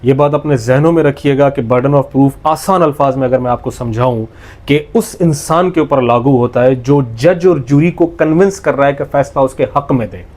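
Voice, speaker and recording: A man's voice: medium pitch, normal speed, a little mellow. A man with a Pakistani accent giving an explanatory lecture, didactic and step by step, restating points with emphasis and mixing in English legal terms. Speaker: law lecturer.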